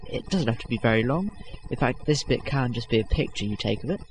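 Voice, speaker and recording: A man's voice: medium pitch, lively intonation, quick pace, noisy background. A young man hosting a casual video-editing tutorial, rambling with lots of fillers and self-corrections, self-deprecating. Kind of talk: unscripted screen-recording tutorial.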